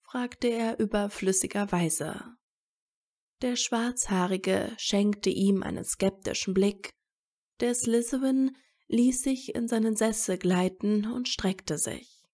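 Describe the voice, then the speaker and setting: Slow, mellow woman narrator with creaky voice. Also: medium pitch. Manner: measured storytelling. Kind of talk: scripted story narration of fan fiction.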